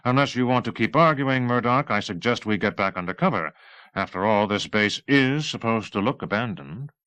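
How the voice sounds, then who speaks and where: A man reading the part of a calm, diplomatic army colonel in a measured, dry manner. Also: fairly low pitch. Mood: firm, diplomatic.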